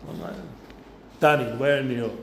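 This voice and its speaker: Man, forties, chatty and informal, middle pitch, echoey room, faint background hiss. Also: animated, a little fast, creaky. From North London, England. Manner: chatty, informal, lots of fillers and asides. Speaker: guest speaker for students. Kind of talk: casual talk to a youth group.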